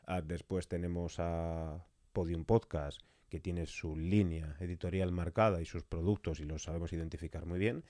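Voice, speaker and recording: Deep, mellow-voiced man from a podcast interview. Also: steady tone, normal speed, no room sound. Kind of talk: conversational interview with long reflective questions.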